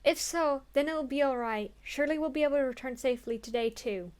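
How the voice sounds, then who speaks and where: A woman's voice: sits high, fairly quick, clear, resonant tone. A young woman playing through a story game, reading the text aloud earnestly and breaking off into crude, swearing jokes.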